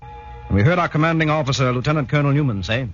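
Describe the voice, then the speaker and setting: A man's voice: on the high side, quick pace, natural voice. An actor playing a commando soldier who narrates his training days in a 1940s radio drama. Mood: measured, reminiscing. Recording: muffled 1940s radio broadcast, music behind.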